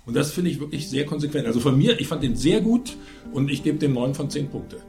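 A man with a slightly high voice argues his view as a film critic in a review discussion. He is insistent and emphatic, pressing each point. Music plays behind him. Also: animated, fairly quick, vocal fry.

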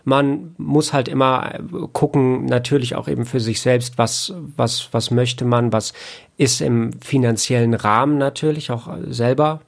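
A man explains his work in a relaxed interview setting. His voice sits at a middle pitch with a clearly creaky, gravelly edge. He speaks haltingly, doubling back on words as he forms each thought. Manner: halting, repeats words and hedges as he thinks. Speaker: audio producer and sound engineer, podcast guest.

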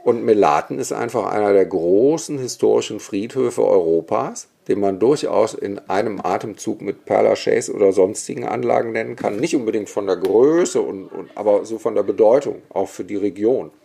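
A man's voice: lowish voice, normal speed, creaky, few pauses, warm tone, slightly rough. A man, a historian and guest, telling stories in a vivid, opinionated way with many asides. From Germany, Bergisch Gladbach area. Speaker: guest, historian and cemetery expert. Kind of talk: relaxed storytelling in conversation.

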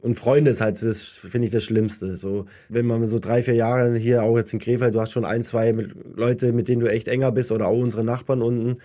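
A man's voice: medium pitch, level tone, fairly quick, few pauses, mellow. A young man from southern Germany with a light Berlin accent, chatting casually in a colloquial, self-deprecating way as an interview guest. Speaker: guest, professional ice hockey player. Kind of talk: casual chat.

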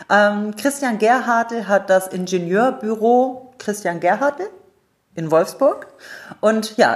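Female podcast host with lowish voice. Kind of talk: conversational podcast introduction and questions.

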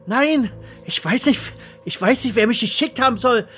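A man's voice: extremely high pitch, expressive, normal speed, a bit bright, faint music behind, voice pushed up. A man playing a frightened, ordinary accountant in an audio thriller, stammering and repeating himself as he pleads. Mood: panicked. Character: frightened, dull, ordinary male accountant pleading his innocence. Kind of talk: radio thriller acting.

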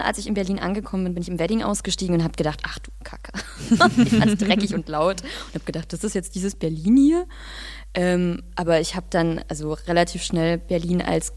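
A woman talking casually as an interview guest, often breaking off and restarting as she tells things in an animated way. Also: lowish voice. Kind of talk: casual conversation.

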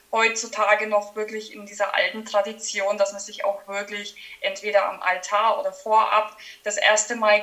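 A Franconian woman in her thirties chats as a podcast guest. Her voice is bright and clear, and she talks in a friendly way, often pausing on filler sounds. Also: medium pitch, poor audio. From Franconia, Germany. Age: 30s. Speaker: wedding photographer guest. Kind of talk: casual podcast interview.

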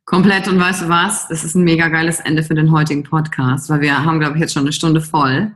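A woman hosts a podcast with a voice a little lower than most women's. She talks in an upbeat, slangy way and leads the conversation with questions. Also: little pitch movement, brisk. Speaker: podcast host.

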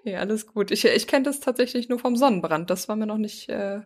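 A woman's voice: medium pitch, expressive, fairly quick. A woman hosting a podcast, who chats casually and asks her guest questions with plenty of fillers. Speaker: podcast host. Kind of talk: podcast interview.

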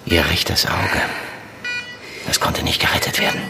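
A man's voice: measured pace, natural voice. A man playing a stern, insistent police handler in an audio drama, pressing with short questions and commands in a controlled, probing way. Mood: commanding, grim. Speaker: actor in a fiction podcast. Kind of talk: naturalistic German audio drama.

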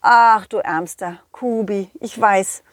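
A woman voicing a confident, loyal, kindly dog who tells his adventures to children in a chatty, halting, empathetic way. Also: medium pitch, no echo, studio quality, close to an everyday voice. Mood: earnest, kindly. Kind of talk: children's audio play storytelling.

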